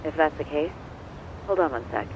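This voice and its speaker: Polite, measured professional man voiced by actor. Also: on the high side, lo-fi sound, background noise, natural voice. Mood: helpful. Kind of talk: video game voice acting.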